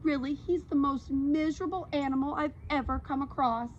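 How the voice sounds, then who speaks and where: A woman voicing a kindly old female cat in a storybook, telling tales in an earnest, unhurried way. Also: high-pitched, muffled recording, a little hiss or hum, close to an everyday voice. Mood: earnest, sympathetic.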